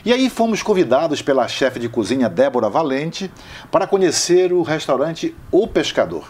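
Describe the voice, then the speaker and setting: A man narrates a food travel show in a measured, descriptive tone. His voice is husky and fairly high for a man. His pitch rises and falls in a lively way.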